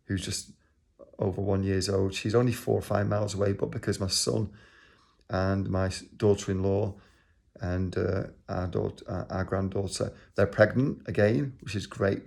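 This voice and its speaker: Gravelly Manchester man telling a story. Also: sits low, flat delivery, normal speed, darkish tone. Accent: Manchester English. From England. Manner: rambling, with frequent ums and uhs. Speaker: organisation leader. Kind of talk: personal story to camera.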